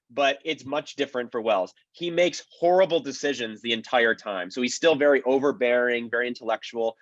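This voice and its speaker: A man speaks as a panelist in an informal discussion, with an analytical, explanatory manner. His voice sits at a middling pitch. The sound is dry and close, though a little dull. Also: no echo. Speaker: panelist, old-time radio historian.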